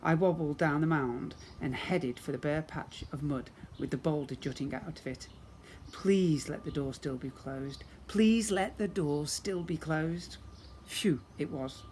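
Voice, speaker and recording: A man reading a children's storybook aloud as a teacher, in an expressive, dramatic storytelling manner. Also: on the high side, measured pace, slight background noise.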